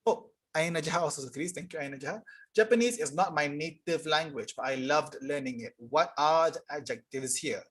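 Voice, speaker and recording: Young male tutor, husky and a touch high, casual live online class, reads examples slowly. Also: fairly bright tone. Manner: casual, explanatory, reads sentences aloud slowly. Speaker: English teacher. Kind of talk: live online tutorial reading chat answers.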